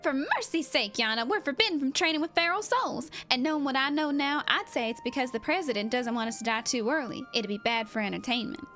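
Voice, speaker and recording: A high, showy female voice put on by a woman for a vain celebrity fighter in a game. She drawls with theatrical, genteel sweetness, every bit the adored star. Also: fairly quick, hardly any pauses, fairly bright tone, soft background music. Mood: scolding.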